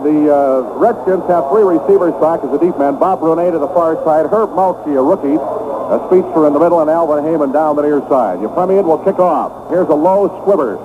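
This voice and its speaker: A man calling a football game live as radio play-by-play announcer from a stadium booth, his voice jumping up on big plays. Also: fairly quick. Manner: rises sharply in pitch on big plays. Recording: tinny old AM radio broadcast with hiss.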